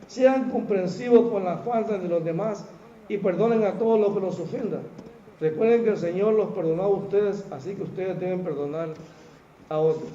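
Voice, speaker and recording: A middle-aged Salvadoran pastor with a Salvadoran Spanish accent preaches to his church in a high, light voice. He keeps it conversational and talks straight to the congregation. The recording sounds dull and lo-fi. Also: moderate pace, warm tone, a little hiss or hum. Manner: conversational, addresses the congregation as "hermano". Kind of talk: sermon. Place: church hall.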